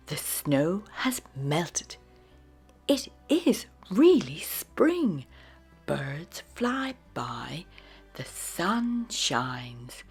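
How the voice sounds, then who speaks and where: Woman narrator for children's picture books: low, lilting and expressive, breathy, unhurried, over music. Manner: slow, deliberate, expressive storytelling. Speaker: storybook narrator. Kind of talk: children's read-aloud narration.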